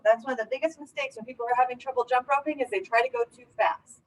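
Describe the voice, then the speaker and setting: A woman's voice: high voice, steady tone, fast talker, low-quality recording. A woman speaking as a children's teacher, reading a storybook aloud and giving simple, encouraging instructions with check-in questions.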